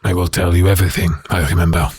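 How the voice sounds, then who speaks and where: An actor playing a bitter, haunted werewolf prisoner in an audio drama, speaking in a slow, brooding, halting way with a rough voice. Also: male voice, sits low, flat delivery, darkish tone, no room sound, studio quality. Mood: bitter resignation. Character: bitter haunted male werewolf prisoner recounting his past.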